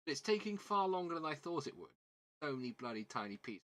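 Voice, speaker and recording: Bright-voiced southern British man chatting casually. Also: on the high side, very animated, a little fast. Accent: southern British English. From southern England. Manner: rambling, self-deprecating, repeats words and phrases. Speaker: livestream host. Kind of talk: casual livestream chat while building a model kit.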